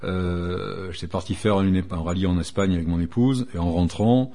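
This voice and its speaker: A man's voice: low-pitched, fairly even intonation, normal speed, dark tone. An older man, founder of a rally club, telling a long anecdote in a radio interview, rambling with many fillers and restarts.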